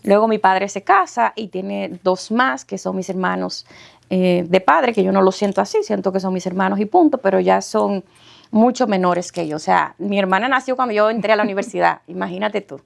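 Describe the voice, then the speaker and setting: A middle-aged woman with a Dominican accent, the guest of an interview podcast, telling her life story in a lively way with frequent laughter. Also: mid-range voice, normal speed, creaky. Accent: Dominican Spanish. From Dominican Republic. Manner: warm, animated storyteller, laughs often.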